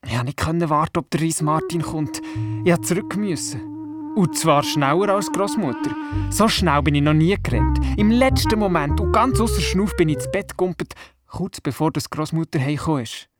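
An imaginative young grandson narrating a children's radio play. He has a Swiss German accent and a fairly high voice, earnest and eager. Music plays clearly behind him. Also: big pitch swings, moderate pace. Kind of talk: first-person storytelling in children's radio play.

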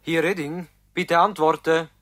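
A man's voice: a little high, steady tone, a little slow, fairly bright tone, no room sound. An actor playing a busy country doctor on his rounds in a radio drama, terse and businesslike, speaking Swiss German-accented lines over a two-way radio. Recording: noisy, clicking radio link.

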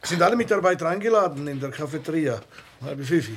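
An actor playing a timid, dreamy office clerk in a radio drama, with a Swiss German accent and a hesitant, awkward manner. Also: male voice, on the high side, animated, breathy edge. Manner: hesitant, halting, mumbling.

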